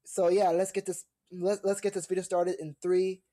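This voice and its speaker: Low-pitched woman, mellow dark tone, very dry close sound, halting casual commentary, repeated words. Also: little pitch movement, dry recording. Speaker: host of a reaction show.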